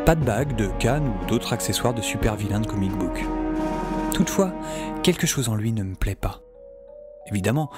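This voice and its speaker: An actor playing a wry scientist who narrates his own adventure in the first person, in a measured, confiding way. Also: medium pitch, close to an everyday voice. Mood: wry, observant.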